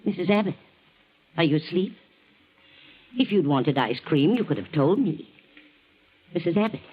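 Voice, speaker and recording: Excitable, swooping-voiced radio-drama housekeeper. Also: female voice, fairly low pitch, normal speed, husky. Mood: brisk, puzzled. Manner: defensive, emphatic, easily flustered. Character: excitable, defensive housekeeper, sharp-tongued and loyal. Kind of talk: 1940s radio acting. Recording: muffled vintage 1940s radio recording.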